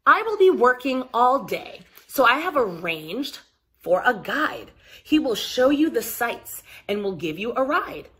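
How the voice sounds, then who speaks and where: Woman as storybook father, caring, busy, parental, measured slow delivery, animated intonation. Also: a little high, exaggerated voice. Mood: explaining. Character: busy, caring father of two young children.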